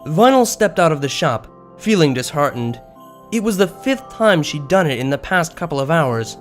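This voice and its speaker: A man reading a story aloud as an expressive narrator, in scripted prose. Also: on the high side, normal speed. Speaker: narrator of a fan fiction story. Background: background music.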